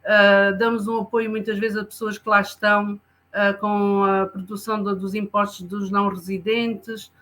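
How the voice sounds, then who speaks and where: A woman with a bright, forward voice describes the social services her centre offers. Her pitch stays flat and even. She restarts phrases often and searches for words. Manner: halting, many fillers and restarts. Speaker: community centre social worker. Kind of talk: explaining services in an interview.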